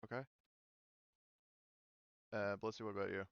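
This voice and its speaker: Male game master, low register, dry close sound, casual and hesitant explainer. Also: level tone, moderate pace, vocal fry, clean tone, no room sound, lo-fi sound. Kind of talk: casual explanatory group discussion before a tabletop game. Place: online voice call.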